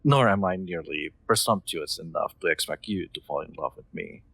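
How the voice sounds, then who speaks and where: A man playing a kind, soft-spoken master in an intimate comfort roleplay, gently reassuring with formal, slightly hesitant phrasing. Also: low-pitched, normal speed, vocal fry, husky, no echo, full character voice. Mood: gentle, earnest.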